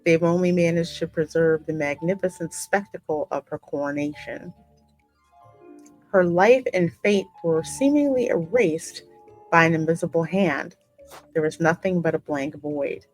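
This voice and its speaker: Low-voiced woman narrating a game story. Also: a little slow, a little mellow, faint music behind, rough recording. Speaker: gaming host voicing the player character. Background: musical game soundtrack.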